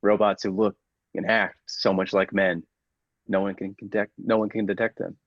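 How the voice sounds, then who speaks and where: A man playing a megalomaniac robot who passes for human, a coldly menacing villain speaking formally and deliberately in a classic radio play. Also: lowish voice, little pitch movement, dry recording, highs cut off, voice pushed down. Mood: cold, calculating.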